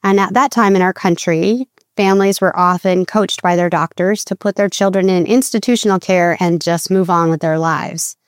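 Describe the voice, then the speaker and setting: Woman nonprofit exec, clear smooth voice, explaining things, dry sound. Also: fairly low pitch, fairly even intonation, normal speed, few pauses, no echo. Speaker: nonprofit executive interviewee. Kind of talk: measured explanatory interview talk.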